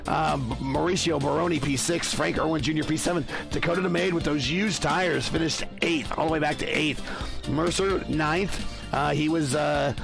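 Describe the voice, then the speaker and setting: A man with a rough voice calling a sim racing broadcast, reading off finishing positions quickly. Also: a little high. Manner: rattles off finishing order quickly. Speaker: race commentator.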